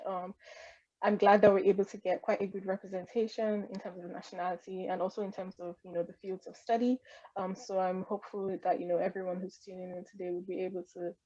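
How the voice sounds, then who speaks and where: A woman's voice: mid-range voice, steady tone, fairly quick, mellow. A woman moderating an online panel over a video call, easygoing and rambling, with many fillers. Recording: dull lo-fi online call audio.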